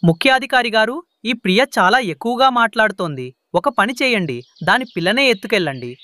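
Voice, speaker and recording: A man's voice: high-pitched, expressive, fast, pushed and bright, no echo, clean studio sound. A man playing a sly, greedy crow landlord who wheedles and bosses in a children's cartoon. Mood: demanding. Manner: scheming, wheedling, bossy.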